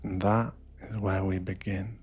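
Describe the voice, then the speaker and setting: Low-voiced man guiding a meditation over music. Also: little pitch movement, unhurried, a little mellow, breathy edge. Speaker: meditation guide. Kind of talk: ASMR guided meditation, scripted narration.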